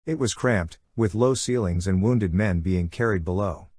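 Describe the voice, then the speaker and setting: Guy reading an adventure audiobook, husky, lowish voice, intense, really dry close sound. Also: moderate pace, darkish tone, no room sound. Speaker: audiobook narrator. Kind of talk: dramatic first-person fiction narration.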